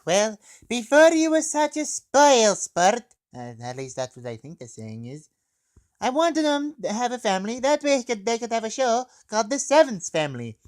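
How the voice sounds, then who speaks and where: A man voicing a brash, wisecracking counter-terrorist operator, teasing and excitable, in a comedy review. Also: extremely high pitch, normal speed, pitched above the performer's own voice, full character voice. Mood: playful. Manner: loud, teasing, calls people comrade.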